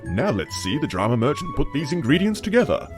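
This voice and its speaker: Animated male narrator over prominent music. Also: mid-range voice, fairly quick. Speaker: narrator of a children's sound effects tutorial. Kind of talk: scripted instructional narration for children.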